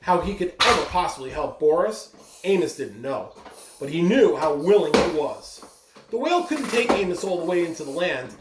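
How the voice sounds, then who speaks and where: Storybook reader for a kids' read-aloud, expressive delivery, mid-pitched, roomy sound with noticeable background noise. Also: moderate pace. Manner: expressive, with brief asides to listeners.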